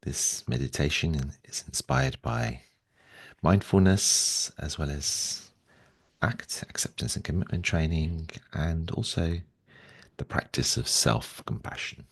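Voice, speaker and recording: A man leading a guided meditation in a gentle, soothing way, speaking slowly and softly with long pauses. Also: rumbling low, gravelly, slightly rough, no echo.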